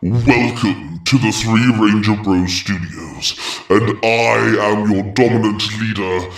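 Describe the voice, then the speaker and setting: A man's voice: fairly low pitch, steady tone. A man playing a grandiose, gloating villain in a dramatic scripted monologue. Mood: gloating, commanding. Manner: menacing, grandiose, deliberate. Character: domineering, gloating male villain who hijacked a channel. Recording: echoey effect with music underneath.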